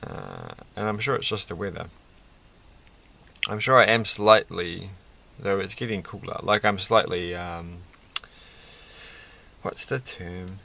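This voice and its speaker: A middle-aged man with a croaky voice, chatting casually and haltingly through a hobby build, often searching for words. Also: deep voice, animated, sharp tone. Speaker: Lego build video creator. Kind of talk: casual hobby vlog, unscripted commentary while building. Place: indoors at a desk.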